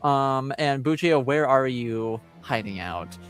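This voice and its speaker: A man running a tabletop game as game master, speaking casually and haltingly as he thinks aloud. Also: mid-range voice, expressive, faint music behind.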